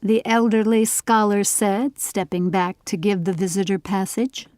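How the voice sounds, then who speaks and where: A woman narrating a scripted story for an audiobook in a measured, literary reading style. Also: mid-range voice, level tone, darkish tone, no room sound, studio quality.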